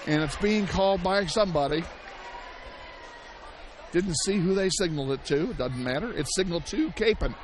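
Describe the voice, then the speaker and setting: A man calling a high school basketball game play-by-play, hoarse and losing his voice, shouting the big plays and chatting between them. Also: animated, normal speed, pauses often. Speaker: play-by-play announcer. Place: high school gym broadcast. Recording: loud gym crowd and noise behind.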